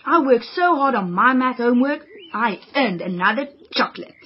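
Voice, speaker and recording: A woman's voice: on the high side, lively intonation, normal speed, dull audio, a little hiss or hum, natural voice. A woman voicing an earnest, studious young girl who loves homework and avoids exercise.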